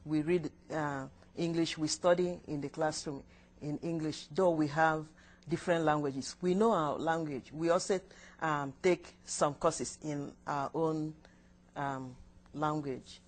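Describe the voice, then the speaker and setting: Low-voiced Nigerian woman, halting answers. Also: fairly even intonation. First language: Igbo. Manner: halting, with frequent restarts and short yes answers. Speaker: interviewee. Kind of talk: recorded counseling interview.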